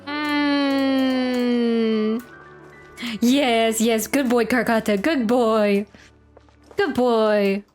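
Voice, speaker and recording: A woman's voice: a little high, fairly even intonation, drawn-out words, long pauses, a little mellow, faint music behind. A woman game streamer chatting casually and reacting on a livestream.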